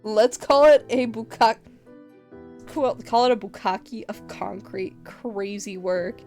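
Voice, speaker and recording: A woman's voice: medium pitch, takes it slowly, darkish tone, faint music behind. A woman livestreamer chatting casually with her viewers, teasing them playfully and laughing often. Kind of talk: casual stream chat with viewers.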